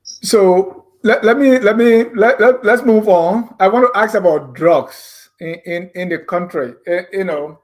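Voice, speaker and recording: Male interviewer; casual, halting, repeats words before getting to the question. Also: high voice. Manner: hesitant, stumbling restarts.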